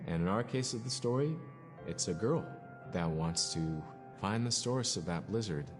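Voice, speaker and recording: A low male voice in a long reverb, with music playing behind. He speaks as a storyteller in a documentary interview, choosing phrases thoughtfully with short pauses. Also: animated.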